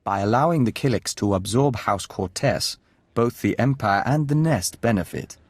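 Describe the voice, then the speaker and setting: An actor playing a calm emissary of an insect hive in a video game, speaking formally and with detachment, as a collective "we". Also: male voice, medium pitch, moderate pace, dry recording, close to an everyday voice. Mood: insistent.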